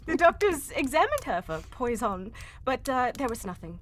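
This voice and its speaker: A woman's voice: sits high, very animated, normal speed, a bit bright, a little hiss or hum. A woman performing an earnest, distressed young Englishwoman telling her tale in a theatrical live radio mystery. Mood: grave, narrating. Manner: theatrical, melodramatic. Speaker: voice actor reading cold. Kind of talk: live old-time radio mystery acting.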